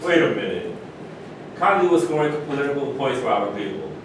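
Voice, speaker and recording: A man playing a reasonable basketball player in a stage play reading, speaking in a measured, conversational way. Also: big pitch swings. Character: reasonable basketball player among proud athletes. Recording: distant mic, heavy echo, noisy rumble.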